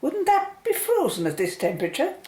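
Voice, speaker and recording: A woman acting an excited, upbeat polar scientist in a radio play. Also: very high-pitched, fairly quick, reverberant, full character voice. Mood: amazed, curious.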